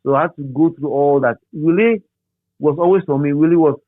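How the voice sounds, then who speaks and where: A middle-aged Ghanaian man reminiscing as an interview guest in a halting, repetitive, animated storytelling manner. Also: on the high side, no room sound. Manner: halting, repeats words and phrases, animated storyteller. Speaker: interview guest, radio DJ and presenter. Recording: thin phone-like sound.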